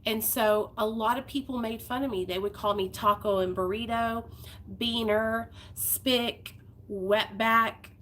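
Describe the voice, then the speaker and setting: A woman's voice: fairly high pitch, steady tone, a little slow, a bit bright, a little hiss or hum. A woman, talking candidly and heartfelt to her viewers in a personal vlog. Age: 30s. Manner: candid, heartfelt, rambling with fillers. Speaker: vlogger.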